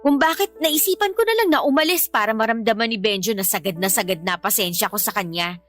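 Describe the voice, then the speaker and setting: A woman's voice: medium pitch, animated, fast talker, a bit bright, faint music behind, natural voice. A woman playing an exasperated young mother who complains emphatically about her partner in a Filipino radio-style drama. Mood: resentful, fed up.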